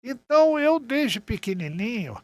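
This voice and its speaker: A man's voice: very high voice, big pitch swings, unhurried, darkish tone, no echo. A man, telling anecdotes conversationally as a podcast guest. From Rio de Janeiro, Brazil. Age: 70s. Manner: conversational storytelling, often checks in with "né". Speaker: podcast guest, journalist and writer. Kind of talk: casual interview, reminiscing anecdotes.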